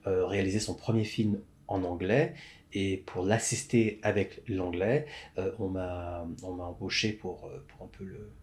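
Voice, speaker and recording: A man from Montreal, a podcast guest explaining his film work in a thoughtful, halting way with many restarts. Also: sits low, fairly even intonation, darkish tone. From Canada, Montreal. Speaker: podcast guest, script supervisor. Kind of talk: interview conversation.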